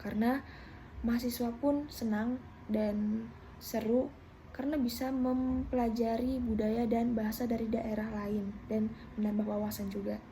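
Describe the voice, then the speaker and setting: A young adult woman, a university student, presents her class project in a steady, slightly formal way, with occasional hesitant fillers. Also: a little high, measured pace, slight background noise, low-quality recording.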